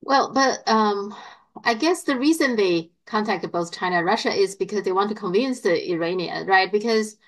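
A middle-aged woman in a conversational discussion, putting questions in a halting, tentative way. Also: medium pitch, lively intonation, fairly bright tone, rough recording. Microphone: video call.

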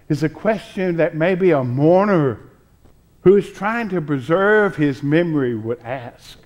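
An older man preaching a sermon from a pulpit, deliberate and emphatic, pausing between phrases. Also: a little high, a little mellow. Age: 60s or older. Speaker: pastor preaching. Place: church sanctuary, at a pulpit with choir behind.

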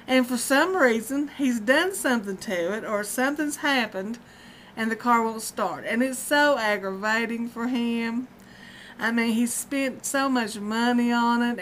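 A woman's voice: a little high, a bit bright, a little hiss or hum. A woman, chatting casually in a rambling vlog at home, often breaking into laughter. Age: 50s. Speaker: vlogger. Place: dim room at home, indoors. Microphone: webcam at close range.

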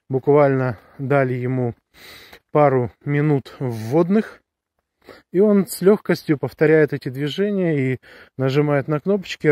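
A man talking casually to viewers while walking outdoors, explaining things with drawn-out hesitations. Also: fairly high pitch, darkish tone, no echo, clean studio sound. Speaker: vlogger reviewing a gadget. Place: outdoors on a woodland path, walking. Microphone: handheld camera or phone held close while walking.

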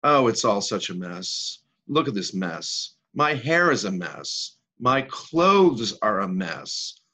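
A man's voice: fairly low pitch, sing-song, takes it slowly, sharp tone, slightly rough, heavily acted. A man acting a self-pitying, exasperated woman in her thirties in a rambling, emphatic comic stage monologue. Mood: despairing. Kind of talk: theatrical comic monologue reading.

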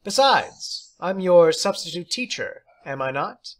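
Eccentric woman schoolteacher, acted by a man, high-pitched, precise, jolly, condescending, storybook character. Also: sing-song, a little slow. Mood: airy, breezy. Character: eccentric prim woman substitute teacher, jolly and airy.

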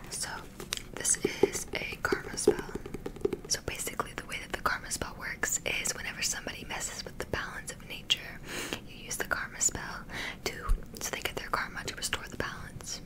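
A young woman whispering an ASMR roleplay as a witch teacher, gently encouraging and instructional, close to the microphone. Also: normal speed, poor audio. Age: young adult. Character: friendly witch teaching spells and potions to a beginner.

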